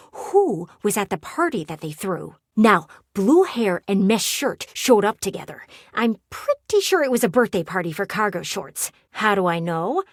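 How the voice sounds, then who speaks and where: A woman's voice: mid-range voice, breathy edge, no room sound, studio quality. A woman voicing a nosy, sassy talking window in a video game, chatty and theatrical like a gossiping neighbor. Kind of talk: video game character voice acting.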